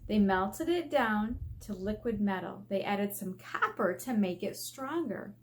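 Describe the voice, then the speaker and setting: A woman teacher reading aloud to children in an expressive way, asking questions and drawing out key words for emphasis. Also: mid-range voice, a little slow. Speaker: elementary school teacher. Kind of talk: read-aloud lesson for children. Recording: faint background noise.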